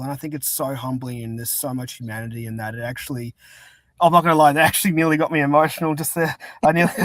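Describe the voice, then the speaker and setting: A man's voice: a little high, no echo, poor audio. Earnest young man, dry close sound. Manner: earnest, rambling with many restarts. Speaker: podcast host. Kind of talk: casual interview chat.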